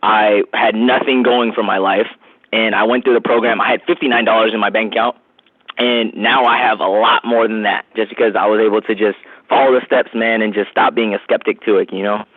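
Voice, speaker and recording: A man on a phone, giving a casual, slangy, persuasive sales pitch peppered with man and bro. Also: fairly even intonation, brisk, breathy edge, no room sound. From San Francisco Bay Area, USA. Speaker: phone sales representative for a self-help program. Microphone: telephone. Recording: tinny narrowband phone line.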